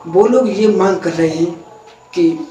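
A young man presenting a news explainer to camera, in an earnest, steady way, sometimes correcting himself mid-sentence. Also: high-pitched, a little slow. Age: young adult. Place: indoor room, parliament backdrop added behind. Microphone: camera a few feet away, no mic in view. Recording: echoey room, voice a bit far from mic, faint noise.

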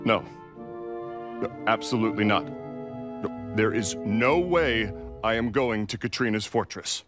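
An actor playing a rugged, wry middle-aged adventurer uncle in a breezy manner for a video game. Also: male voice, fairly low pitch, unhurried, natural voice. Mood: reluctant. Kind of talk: video game cutscene acting. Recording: music under the voice.

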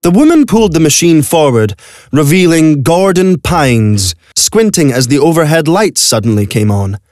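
A man's voice: on the high side, animated, normal speed, a little mellow, no room sound, clean studio sound. A man narrating a scripted audio drama as a storyteller. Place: recording studio. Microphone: close studio microphone.

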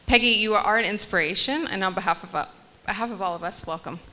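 A woman's voice: medium pitch, fast, sharp tone, low-quality recording. A young woman moderating a panel on a stage, reading guest introductions into a handheld mic and laughing off her small slips. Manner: reads bios, laughs lightly at her own slips. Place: large hall stage. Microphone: handheld microphone.

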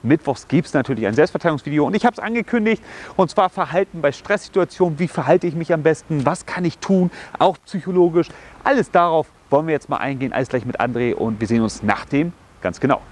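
A man in his thirties with a slight cold, teaching self-defense outdoors and punctuating moves with "boom" and "bam". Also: fast talker. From Germany. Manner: energetic, explains with sound words like boom and bam. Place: outdoors on a grassy park slope.

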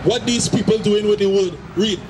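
A middle-aged man with a Trinidadian accent, preaching forcefully outdoors into a handheld mic and repeating his points. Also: high voice, fairly even intonation, moderate pace. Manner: forceful, repetitive, shouting at times. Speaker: street preacher. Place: city street outdoors, crowd around. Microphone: handheld mic through a loudspeaker. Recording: noisy street with crowd.

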